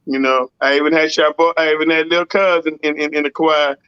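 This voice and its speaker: Raspy dude, kinda high voice, rambles on, dry dull sound. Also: male voice, little pitch movement, brisk, no room sound. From Southern US. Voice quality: rough, raspy voice. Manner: rambling, fills with "you know what I'm saying". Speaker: singer, songwriter and producer. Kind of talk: casual interview talk.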